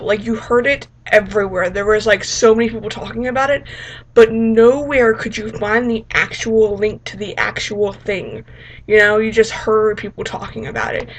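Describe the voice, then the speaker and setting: A woman's voice: on the high side, a bit bright, slight background noise, rough recording. A woman vlogger chatting casually in a rambling, informal, self-deprecating way for a reaction video. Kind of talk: casual reaction vlog chat.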